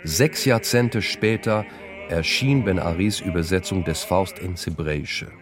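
A man's voice: low voice, unhurried. A man narrating a radio documentary in the first person. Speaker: narrator, theatre director. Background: music.

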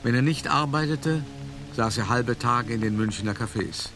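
A man's voice: mid-range voice, moderate pace, over music, close to an everyday voice. Man playing a shrewd, wry art dealer reminiscing. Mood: wry, amused. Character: shrewd, wry male art dealer recalling his past. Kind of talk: first-person radio play narration.

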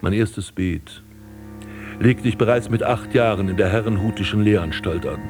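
An actor playing a vain, scornful prince and landscape gardener in a radio drama, speaking in pompous, ornate declamation. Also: male voice, lowish voice, moderate pace, music in the background, lightly acted. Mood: self-satisfied. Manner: pompous, declamatory, ornate old-fashioned diction. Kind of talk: literary radio drama acting, monologue and dialogue.

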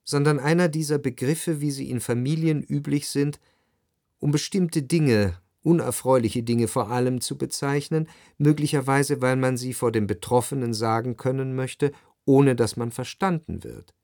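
Period radio play narrator male actor: analytical, measured, mid-pitched, in a very dry studio sound. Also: a little mellow, no echo, close to an everyday voice. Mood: reflective. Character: reflective, analytical literary narrator of Parisian society. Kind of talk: scripted literary narration.

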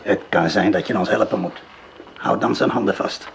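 Gravelly grim accomplice. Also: male voice, on the low side, normal speed. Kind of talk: radio drama acting. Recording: noisy old radio play recording.

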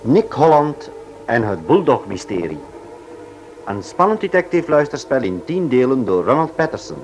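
A man's voice: mid-range voice, big pitch swings, normal speed, a little mellow, rough recording. Dramatic male radio narrator, faint hiss. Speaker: narrator and announcer. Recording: hissy old radio recording.

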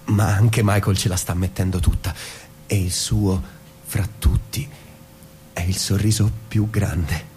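An actor playing an introspective suburban husband in a radio drama, speaking in a reflective, measured, literary way. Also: male voice, sits low, flat delivery, darkish tone, a little hiss or hum. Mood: wistful. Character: introspective suburban husband, narrating his inner thoughts. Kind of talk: theatrical radio drama, literary monologue and dialogue.

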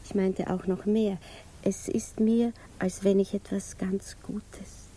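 An actress playing a naive, lovestruck and anxious woman in a 1950s German radio drama, confiding hesitantly and often slipping into breathy whispers. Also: mid-range voice, little pitch movement, slow pace, dark tone, close to an everyday voice. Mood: shyly elated.

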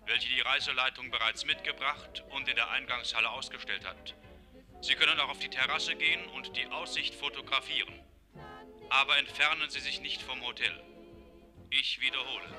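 An actor playing a strict, officious tour guide who gives commanding, formal announcements in a radio play. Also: male voice, medium pitch, bright, forceful tone.

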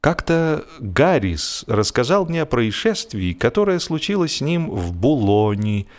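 A man reads a humorous story as an audiobook narrator, in an expressive, animated storytelling manner. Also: mid-range voice, measured pace.

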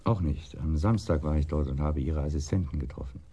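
An actor playing a timid, bitter betrayed husband in a radio crime drama, speaking haltingly and heavily, with hushed trailing phrases. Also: male voice, extremely low pitch, husky, lo-fi sound. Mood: stunned, subdued. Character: broken, bitter cuckolded husband, timid and brooding.

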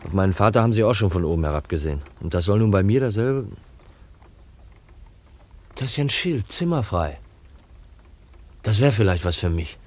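A man's voice: fairly low pitch, lively intonation, normal speed, long pauses, darkish tone. A man playing a shy, proud, lonely young orphan in a 1950s German radio drama, hesitant and brooding, on a noisy old recording. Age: young adult.

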